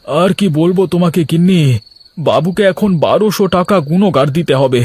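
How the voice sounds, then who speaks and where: A man's voice: high voice, a little fast, a little mellow, slightly rough, no room sound. A man playing a humble, aggrieved labourer in a cartoon, plaintive and complaining. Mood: dejected, aggrieved. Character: humble aggrieved male labourer, husband, protesting innocence. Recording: light background music.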